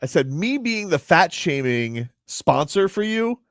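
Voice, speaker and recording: A man's voice: fairly high pitch, a little slow, no echo, clean studio sound. A man hosting a sports radio show, joking and telling stories in casual banter. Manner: joking, animated storytelling.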